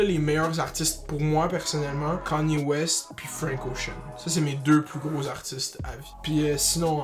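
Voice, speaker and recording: A young man with a Québécois French accent talking casually to camera as a YouTuber, in slangy speech peppered with English words. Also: on the high side. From Quebec, Canada. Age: young adult. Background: music.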